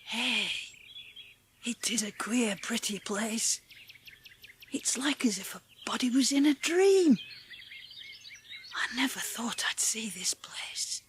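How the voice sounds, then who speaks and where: A woman playing a friendly, gentle country boy with a Yorkshire accent in a dramatised audiobook. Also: a little high, lively intonation, slow, pauses often, slight background noise. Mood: hushed wonder. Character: friendly, rustic Yorkshire moorland boy, gentle nature lover.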